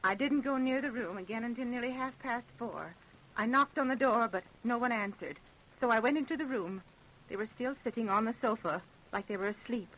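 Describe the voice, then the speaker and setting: A woman's voice: on the high side, brisk, a bit bright, lo-fi sound, slight background noise, natural voice. An actress playing a fussy, kindly, old-fashioned middle-aged American mother in an old-time radio drama. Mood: shaken, testifying.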